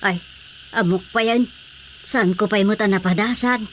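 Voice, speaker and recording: A woman's voice: mid-range voice, normal speed, slight background noise, lightly acted. A woman playing a flustered, grumbling mother in a comedy radio drama, complaining and exclaiming.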